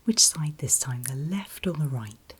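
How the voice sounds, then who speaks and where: Woman whispering softly, close to the mic. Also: deep voice, animated, measured pace, a little hiss or hum. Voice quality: breathy unvoiced whisper. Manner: gentle, reassuring, checking in often. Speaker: ASMR performer playing an ear examiner. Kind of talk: whispered ASMR medical roleplay. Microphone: close ASMR microphone.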